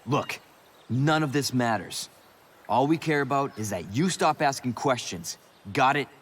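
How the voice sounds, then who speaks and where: A man's voice: mid-range voice, animated, measured pace, fairly bright tone, breathy edge. An actor playing an uneasy criminal associate in a video game, placating but firm as he tries to keep the peace.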